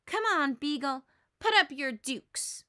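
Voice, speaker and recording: A woman's voice: sits high, expressive, slow pace, sharp tone, dry recording, clean studio sound, full character voice. A woman voicing a bossy, crabby little girl in a storybook, self-important and scoffing. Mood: combative.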